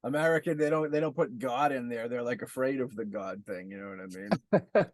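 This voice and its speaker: A man's voice: medium pitch, expressive, brisk, vocal fry, no room sound. Laid-back slangy male podcast host. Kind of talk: casual chat.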